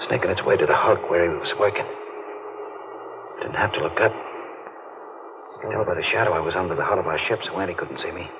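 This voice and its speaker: An actor playing a brooding, guilt-haunted male diver in a 1940s radio mystery, with a tense, deliberate delivery. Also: low-pitched, close to an everyday voice. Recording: noisy, narrow-band old radio recording.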